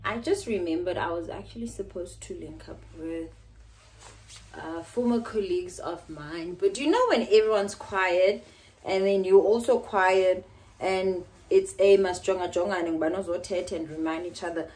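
A woman's voice: lowish voice, moderate pace, poor audio. Young South African woman chatting casually. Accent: South African, Xhosa. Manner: rambling, code-switching, with soft laughs. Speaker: vlogger. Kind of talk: casual vlog chat. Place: small bedroom indoors. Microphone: phone camera at arm's length.

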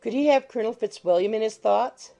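A woman's voice: fairly high pitch, brisk, creaky, warm tone. A woman narrating a classic novel as a scripted audiobook, in a literary reading style. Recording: music behind the voice.

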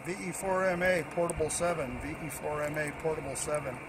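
A fairly high-voiced older man talks as a ham radio operator out in the open. He repeats clipped phrases and spells with phonetic words. The recording has clear outdoor noise around him. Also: steady tone, fast talker. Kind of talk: radio contact exchange with call signs and repeated phrases. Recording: noisy outdoor field recording.

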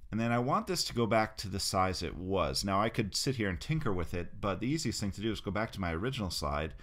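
Man, low voice, software tutorial narration, relaxed step-by-step. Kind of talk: screen-recorded software tutorial.

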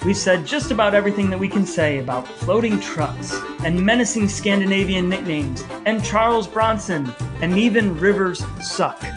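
A man's voice: sits high, animated, moderate pace, hardly any pauses, music in the background. A man co-hosting a casual podcast, talking in a playfully wordy, mock-formal way. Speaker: podcast co-host. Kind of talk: casual podcast talk between brothers.

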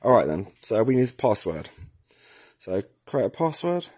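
Husky-voiced man giving a hands-on tutorial. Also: mid-range voice, very animated, normal speed, a little mellow. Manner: informal, step-by-step, thinking aloud while working. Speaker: product tutorial presenter. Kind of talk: casual hands-on tutorial narration. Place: indoors at a work table, filming hands and device.

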